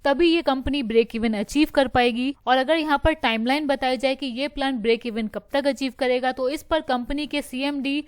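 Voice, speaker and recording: A woman's voice: sits high, moderate pace, hardly any pauses, clean tone, no room sound. A woman hosting a stock market news video, explaining steadily and slipping English phrases into her Hindi.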